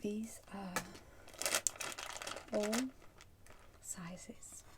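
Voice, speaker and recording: A woman whispering softly and breathily in an ASMR roleplay, pausing often as she addresses the listener as a customer. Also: mid-range voice, fairly even intonation, heavy background noise. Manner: slow, pausing, unhurried. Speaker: ASMR performer playing a soup shop keeper.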